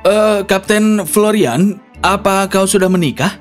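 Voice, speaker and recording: A woman's voice: mid-range voice, a little slow, pitched below the performer's own voice, lightly acted. A woman voicing a noble, trusting young prince with a courteous, easygoing manner. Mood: curious, earnest. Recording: music behind the voice.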